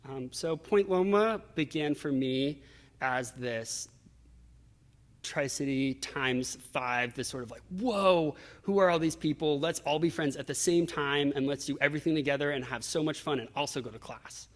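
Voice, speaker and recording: A man's voice: a little high, normal speed. Man telling his story, heavy reverb. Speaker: interview guest. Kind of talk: reflective personal storytelling in an interview. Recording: reverberant hall-like echo.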